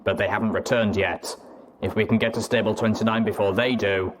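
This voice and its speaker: A man playing a gruff, stoic, weary soldier pony with a slow, measured delivery, for a story reading. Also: fairly low pitch, fairly even intonation, natural voice. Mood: businesslike, firm. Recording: clearly noisy track with clicks.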